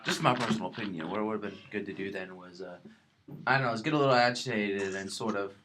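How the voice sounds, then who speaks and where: A man's voice: lowish voice, fast, fairly bright tone. A man chatting casually as a player in a tabletop game, offering tentative opinions. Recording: clear background noise at game table.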